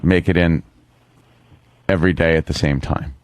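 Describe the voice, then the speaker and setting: A man's voice: rumbling low, fairly even intonation, normal speed. A male radio co-host in casual banter, asking questions with wry incredulity.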